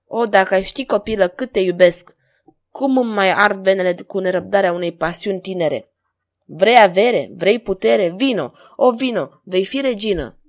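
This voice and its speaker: A woman's voice: mid-range voice, lightly acted. Woman acting an imperious, hot-tempered king. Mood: passionate, pleading. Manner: grandiose, commanding. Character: arrogant lustful king, imperious and quick to rage.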